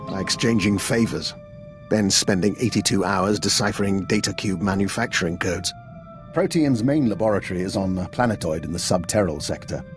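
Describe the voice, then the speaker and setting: A man's voice: deep voice, faint music behind, lightly acted. A man playing an erudite, dry scientist who speaks precisely and wryly in a video game. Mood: dry, measured. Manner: measured, precise, wry.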